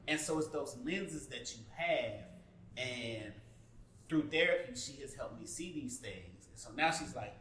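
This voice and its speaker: A man's voice: mid-range voice, a bit bright. A man giving candid advice in a group discussion, speaking in an emphatic, sassy manner. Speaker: panelist. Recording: roomy, distant mic, faint background noise.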